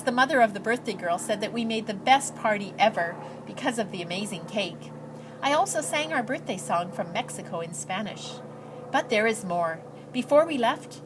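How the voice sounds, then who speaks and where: A woman's voice: a little high, background noise, close to an everyday voice. A woman voicing an earnest, shy, hopeful immigrant girl reading out her letters, with heartfelt, lilting storybook delivery.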